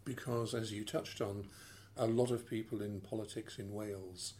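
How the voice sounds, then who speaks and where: A man's voice: fairly low pitch, steady tone, normal speed, darkish tone, slight background noise. A man interviewing a guest, asking formal, probing questions.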